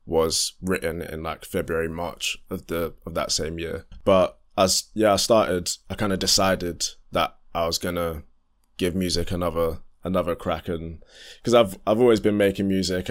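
A young man, a musician chatting casually in an interview, relaxed and full of fillers and restarts. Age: young adult. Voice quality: deep voice. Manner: relaxed, rambling, many fillers and restarts, laughs often. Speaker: independent musician guest.

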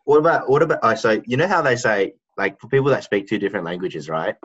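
A man's voice: lowish voice, expressive, fast, fairly bright tone, husky. A man on a casual podcast, rambling through an idea with restarts and slang. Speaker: podcast co-host. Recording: dull lo-fi sound.

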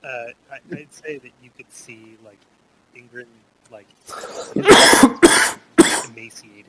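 Quiet hesitant guy at the game table, almost whispering, slow. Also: a little high, wildly swooping pitch, poor audio. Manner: hushed, hesitant, near-whispered. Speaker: player. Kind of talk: casual table talk.

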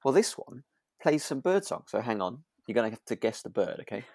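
A British man co-hosting a podcast in relaxed, casual chat, with chatty, hesitant phrasing. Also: mid-range voice, brisk, noisy background. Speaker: podcast host.

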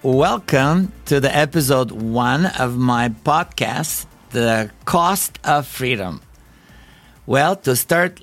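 Bulgarian-accented man, earnest and halting. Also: mid-range voice, fairly bright tone. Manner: earnest, halting, with frequent restarts. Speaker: podcast host. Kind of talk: personal testimony.

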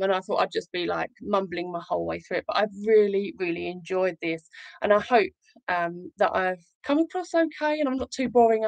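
A woman's voice: medium pitch, no room sound, poor audio. A woman hosting a casual livestream, chatting in a rambling, self-deprecating and effusive way. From Essex, England. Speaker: live show host, children's author.

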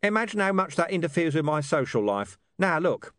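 Cheeky, streetwise talking dog, pitched high. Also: male voice, big pitch swings, brisk, fairly bright tone, dry recording, studio quality. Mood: dismissive, sarcastic. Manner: dismissive, cheeky, slangy.

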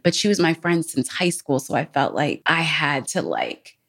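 A woman's voice: sits low, wildly swooping pitch, normal speed, creaky, fairly bright tone. A woman co-hosting a podcast, telling personal stories in a casual, informal way with colloquial filler phrases. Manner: informal, frequent "like" and "you know what I'm saying". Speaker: podcast co-host. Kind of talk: candid personal storytelling, casual chat.

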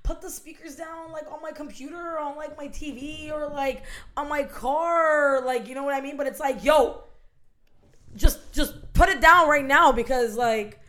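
Loud, laughing young woman. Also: high-pitched, a little slow. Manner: loud and animated, frequent bursts of laughter. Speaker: podcast host. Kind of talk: casual chat, storytelling.

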